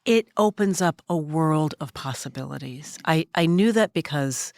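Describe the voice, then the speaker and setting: A woman in her sixties with a low, smoky voice talks quietly and thoughtfully, taking her time with stories. She sits in a studio, and the sound is close and dry. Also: lively intonation, moderate pace, dry recording. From Minnesota. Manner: soft-spoken, reflective storyteller. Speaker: podcast guest, journalist. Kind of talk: casual conversation. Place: recording studio.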